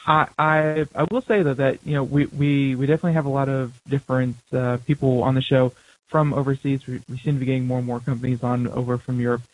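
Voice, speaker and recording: A man co-hosting a talk radio show, casual and joking, speaking in halting bursts. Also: medium pitch, level tone, low-quality recording. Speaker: radio co-host.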